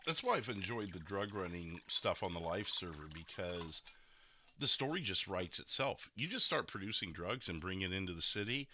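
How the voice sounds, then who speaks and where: Deep, very bright male podcast host. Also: expressive, moderate pace, slight background noise. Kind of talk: casual chat reviewing game roleplay.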